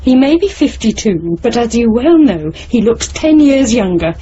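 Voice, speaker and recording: A fretful young British woman speaks frankly in a mellow voice with very animated pitch. She is a sharp-tongued daughter in a radio play, recorded a little muffled. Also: moderate pace. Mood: bitter, frank. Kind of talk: naturalistic radio drama acting. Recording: muffled 1970s radio drama, faint noise.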